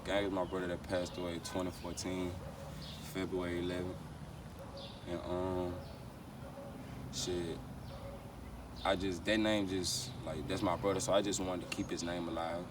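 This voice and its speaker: A very deep-voiced American man talks casually as a rapper being interviewed. His speech is slangy and peppered with repeated verbal tags. He sounds set back from the microphone, with clear outdoor city noise behind him. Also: level tone, moderate pace, long pauses. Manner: slangy, repeats verbal tags like "you know what I'm saying". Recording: noisy, distant mic, outdoor street ambience.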